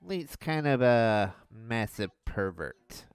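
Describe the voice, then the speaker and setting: A young man reviewing an old cartoon in a sarcastic, mocking voice-over with deadpan jabs. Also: mid-range voice, slow, faint music behind.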